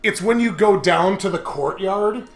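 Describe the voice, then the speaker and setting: A man's voice: high-pitched, measured pace. A man hosting a gaming show, giving casual, joking let's-play commentary with exclamations, swearing and mock drama. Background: video game music.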